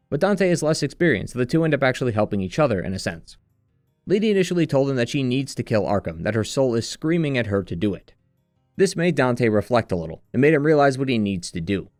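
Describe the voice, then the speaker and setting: A man reading scripted narration in a measured, earnest way, like a video essayist walking through a story retrospective. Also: medium pitch, a little mellow, dry recording, studio quality. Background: background music.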